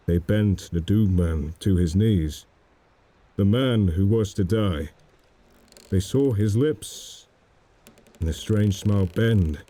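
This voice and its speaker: A man narrating a dramatic poem in a solemn, measured manner. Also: fairly low pitch, dark tone. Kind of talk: dramatic poetry recitation.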